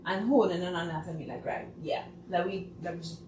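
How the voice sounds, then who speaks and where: Trinidadian woman, talk-show guest, animated; off-mic, roomy. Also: fairly low pitch, fairly quick, distant mic. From Trinidad and Tobago. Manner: animated, laughing, mimics people. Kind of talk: casual talk show chat. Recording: echoey room, voice from off-mic, faint noise.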